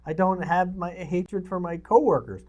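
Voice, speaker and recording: A man's voice: high voice, normal speed, a little mellow. A middle-aged man co-hosting a talk show with casual banter. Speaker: co-host.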